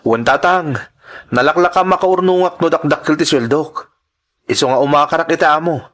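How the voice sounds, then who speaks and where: A man's voice: a little high, brisk, fairly bright tone, natural voice. A man with a Filipino accent playing an earnest, worried migrant worker in an Ilocano radio drama, speaking in a hesitant, respectful way. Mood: evasive, reassuring. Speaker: voice actor.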